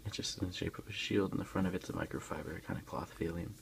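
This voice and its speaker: A man softly whispering in a breathy voice as he casually hosts an ASMR unboxing, unhurried and a little hesitant, recorded on a phone. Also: low voice, fairly even intonation, a little hiss or hum, low-quality recording. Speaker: ASMR video host.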